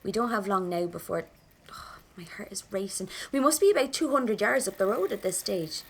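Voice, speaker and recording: A woman's voice: medium pitch, animated, normal speed, vocal fry, husky. An actress with a Dublin accent playing a defiant young rebel woman of the 1920s, telling her story in a colloquial, wry, dramatic way. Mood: breathless, anxious. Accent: Irish, Dublin. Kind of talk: first-person audio drama narration.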